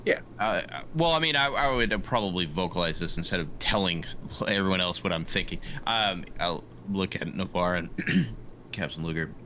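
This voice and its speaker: A man with a very low voice and a bright edge talks as a player in a tabletop game. His casual chat is hesitant and halting. Background noise is clearly audible. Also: lively intonation, rough recording.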